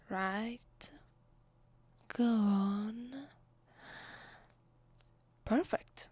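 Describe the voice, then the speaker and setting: A woman's voice: mid-range voice, darkish tone, no room sound. A woman with an Italian accent playing a haughty, possessive vampire mistress in an ASMR roleplay, condescending and teasing, often dropping to a whisper.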